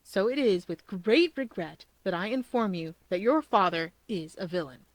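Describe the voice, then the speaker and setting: A woman voicing an earnest, deadpan teenage boy, gravely serious about his conspiracy theories, in a fan fiction reading. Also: medium pitch, normal speed, dry recording, natural voice. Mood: grave, urgent. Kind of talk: fan fiction audiobook character voice.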